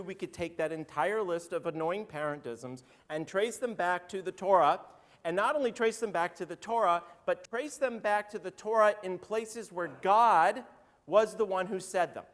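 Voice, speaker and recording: Hoarse rabbi in a reverberant sanctuary. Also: male voice, sits high, a bit bright. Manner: chatty, joking, calls on congregants by name. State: slightly hoarse voice. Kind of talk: interactive sermon with the congregation. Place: synagogue sanctuary. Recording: roomy hall reverb.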